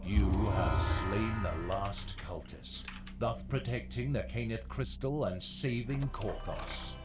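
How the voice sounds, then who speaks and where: Deep, slow, solemn dungeon master. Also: male voice. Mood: solemn, ominous. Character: solemn dungeon master narrator of fantasy quest. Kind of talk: scripted dramatic narration. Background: game music.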